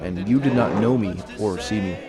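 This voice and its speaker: A man's voice: lowish voice, moderate pace, music in the background, a crowd in the background, close to an everyday voice. A man playing a weary, haunted soldier in his forties in an audio drama, grave and terse. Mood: guarded. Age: 40s. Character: weary haunted 40-year-old male ex-soldier in hiding. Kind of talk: audio drama acting and narration.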